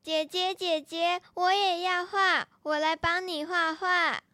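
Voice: very high voice, fairly even intonation, slow pace, noisy background. A child acting a playful, mischievous little brother in a radio drama.

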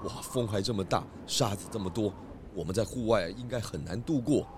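A man's voice: on the low side, fairly quick, a little hiss or hum, natural voice. A man playing a bossy, exasperated detective chief in a children's audio drama, theatrical and prone to scolding. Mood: grumbling. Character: grown male police detective chief, bossy, exasperated, comic. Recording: music behind the voice.

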